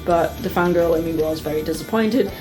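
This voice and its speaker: A woman telling personal stories to her viewers in a casual, chatty, expressive way, like an enthusiastic fan. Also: fairly low pitch, a little fast, music in the background, low-quality recording. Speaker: vlogger.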